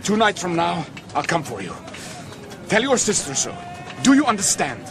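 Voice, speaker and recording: A bold young male adventurer in a medieval radio drama. The voice is high for a man and very animated in pitch, commanding and protective in manner. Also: moderate pace, music in the background, heavily acted. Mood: earnest, commanding. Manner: firm, instructing.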